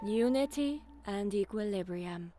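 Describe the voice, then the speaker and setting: Woman playing a slow, solemn alien commander. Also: medium pitch, animated, lightly acted. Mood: composed. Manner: measured, formal, grave. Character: female alien T'au commander, solemn, composed, watchful. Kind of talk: dramatic first-person narration and dialogue. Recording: voice over background music.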